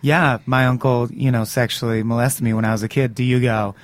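Gravelly hoarse guy, lots of fry, joking and laughing, dry mic. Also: mid-range voice, flat delivery, a little fast, dry recording. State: hoarse from podcasting. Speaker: podcast guest.

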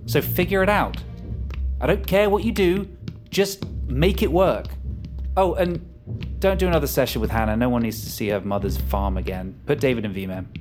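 A man's voice: medium pitch, moderate pace, a little mellow, faint music behind, natural voice. A man playing a controlling, menacing leader in an audio drama, commanding and sarcastic. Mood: impatient, commanding. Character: menacing, controlling male leader threatening his subordinate.

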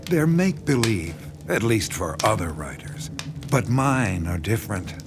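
Horror radio drama: predatory writer, low register, unhurried, coaxing and condescending, music behind. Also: male voice, big pitch swings. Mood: smug, persuasive. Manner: slow, coaxing, intense, condescending. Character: sinister horror writer, coaxing, menacing, obsessive male predator.

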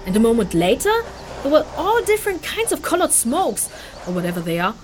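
A chatty human refugee, with a lively, swooping female voice. She is candid, slangy and easily amazed, naturalistic fantasy audio drama over faint ambience. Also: fairly high pitch, a little fast, slight background noise. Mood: rattled, recollecting. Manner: informal, slangy, expressive, hesitant recollection.

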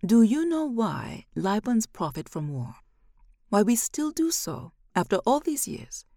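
A woman's voice: mid-range voice, big pitch swings, a little slow, warm tone, no room sound, clean studio sound, pitched above the performer's own voice. A woman voicing a grave, fervent tribeswoman in an audiobook, speaking with solemn, persuasive emphasis. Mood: pointed, challenging. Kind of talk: audiobook character dialogue.

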